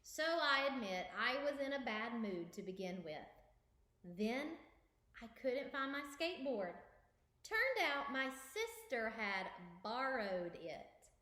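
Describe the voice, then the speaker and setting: A woman's voice: fairly high pitch, very animated, slow pace, sharp tone, full character voice. A woman voicing a moody, indignant young boy cow in a children's storybook, dramatic and emphatic. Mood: put out. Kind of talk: children's storybook read-aloud. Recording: roomy, echoing indoor recording.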